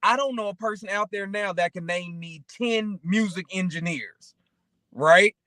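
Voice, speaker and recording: A man's voice: sits high, unhurried, bright, forceful tone, no echo. A man chatting casually and motivationally as a talk show co-host, rambling through anecdotes and often checking in with the listener.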